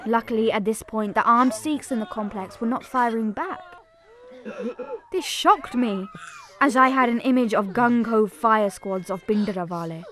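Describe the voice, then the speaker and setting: A woman's voice: a little high, moderate pace, fairly bright tone, lightly acted. A woman playing an earnest young pilgrim who narrates a family tragedy in a scripted audio drama.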